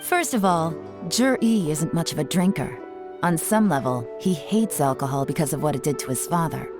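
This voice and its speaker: A woman's voice: sits low, animated, moderate pace. A woman playing a cool, shrewd intelligence officer in a video game, composed and commanding with dry confidence. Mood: analytical. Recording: music behind the voice.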